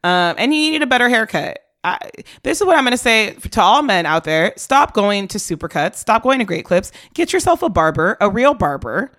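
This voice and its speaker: A woman with a slightly raspy voice chats as a podcast co-host. She sounds wry and teasing. The mic is close and the sound is dry, with no room echo. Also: mid-range voice, big pitch swings, a little fast, few pauses, a bit bright. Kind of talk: casual chat.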